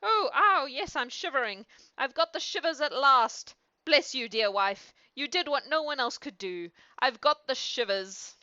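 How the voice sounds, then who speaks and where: A woman voicing an excitable young fairy-tale king crying out as he shivers. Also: sits high, measured pace, no echo, muffled recording, pitched above the performer's own voice, full character voice. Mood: shrieking, overjoyed. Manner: excitable wailing cries.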